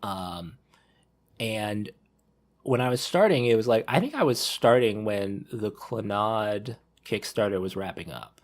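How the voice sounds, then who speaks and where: A man's voice: lowish voice, animated, measured pace. A man talking casually on a podcast panel, rambling a little and repeating words as he thinks aloud.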